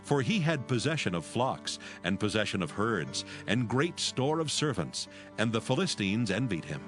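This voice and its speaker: A man narrating scripture from a script in a formal, measured manner. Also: fairly low pitch, sing-song. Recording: music behind. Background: music.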